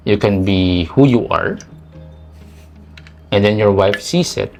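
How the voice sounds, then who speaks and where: A man's voice: lowish voice, takes it slowly, gravelly, faint music behind. A man with a Filipino accent giving casual, reflective, faith-based talk as a podcast co-host, mixing English with Tagalog.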